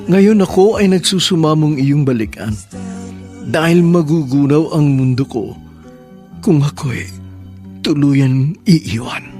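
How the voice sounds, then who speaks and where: A man narrates a romantic radio drama in a polite, formal host's manner, his voice a little high. Soft music runs behind him. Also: takes it slowly, long pauses. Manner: warm, formal, polite address.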